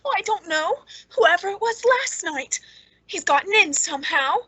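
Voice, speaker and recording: A high-voiced, bitter woman played by an actress broods through a radio suspense story. She speaks with pointed, deliberate menace, clever and quietly scornful of those around her. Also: animated, exaggerated voice, poor audio. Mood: urgent, hushed alarm. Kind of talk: old-time radio suspense acting.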